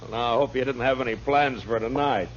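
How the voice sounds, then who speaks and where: An actor playing a steady, dry and blunt American frontier marshal in a 1950s radio western. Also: male voice, mid-range voice, brisk, lightly acted. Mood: dry amusement. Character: weary, steady frontier U.S. marshal, a grown man. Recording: muffled old radio recording with hiss and hum.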